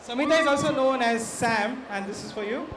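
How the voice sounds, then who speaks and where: A man's voice: extremely high pitch, fairly quick. A man with an Indian accent speaking informally to an audience as a presenter and quiz host at a live event. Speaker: art director and quiz co-host. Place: comic convention event. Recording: echoey, noisy event venue, distant pickup.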